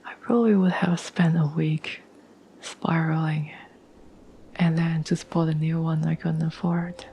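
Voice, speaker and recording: A woman acts out a caring, gentle girlfriend in an intimate ASMR audio roleplay. Her voice is a breathy, whispery murmur, slightly low and very even in pitch. She takes her time, pausing thoughtfully, over faint background noise. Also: rough recording. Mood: warm, grateful. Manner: gentle, unhurried, halting with thoughtful pauses. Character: caring, tender girlfriend reassuring her troubled partner.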